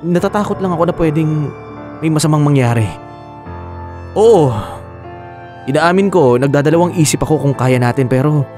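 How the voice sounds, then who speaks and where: A man's voice: a little high, normal speed, long pauses, warm tone, faint music behind, lightly acted. A man with a Filipino accent playing an anxious young expectant father in a radio drama. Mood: anxious, conflicted. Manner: sighing, hesitant, earnest.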